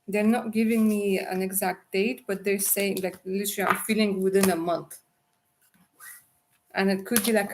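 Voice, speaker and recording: A woman's voice: mid-range voice, a little mellow, slight background noise. A woman doing a live tarot reading on a stream, chatty and affectionate, calling viewers darling. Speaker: tarot reader.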